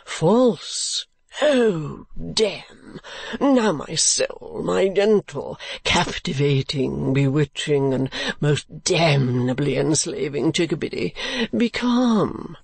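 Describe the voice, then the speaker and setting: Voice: medium pitch, expressive, takes it slowly, gravelly, husky, no echo, full character voice. A narrator voicing a foppish, cowardly, melodramatic husband who cajoles with affected baby-talk endearments in an audiobook. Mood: wheedling. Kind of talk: audiobook character acting.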